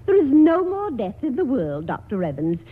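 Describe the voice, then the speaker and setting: An actress playing a shrewish, scheming aunt, prim and insistent, in a 1940s radio comedy. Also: female voice, sits high, huge pitch swings, moderate pace, breathy edge, heavily acted. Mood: sardonic, insistent. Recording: tinny, band-limited old radio broadcast.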